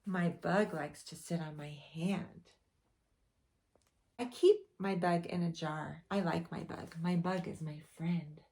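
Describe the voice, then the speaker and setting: A young woman teaching preschool, reading a picture book aloud to children in a lively storytime voice. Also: slow pace, a little hiss or hum. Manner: animated storytime voice with big pitch swings.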